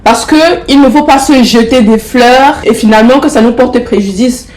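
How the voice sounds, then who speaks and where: A young woman with the flu talks straight to her viewers in a fairly high, congested voice. She coaxes them warmly and drives points home by repeating herself. The room around her gives the sound a roomy echo. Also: normal speed. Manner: affectionate, coaxing, repeats herself for emphasis. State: has the flu, stuffy nose. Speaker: motivational vlogger. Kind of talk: casual motivational talk to camera.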